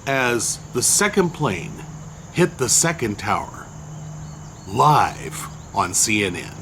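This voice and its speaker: An older man with a loud voice casually recounting a memory, unhurried and rambling with many fillers. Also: medium pitch, very animated, noisy background. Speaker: storyteller recounting a personal memory. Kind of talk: casual spoken recollection.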